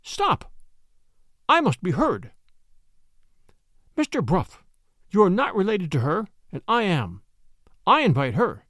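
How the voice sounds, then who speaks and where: A man's voice: sits high, sing-song, moderate pace, no echo, studio quality, voice pushed up, exaggerated voice. A man reading aloud voices a fervent, pious spinster who pleads in a rising voice.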